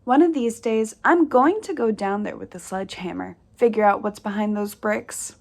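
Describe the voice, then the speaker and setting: A woman's voice: mid-range voice, moderate pace, creaky, darkish tone. A woman playing a wry, easygoing member of a household in an audio play.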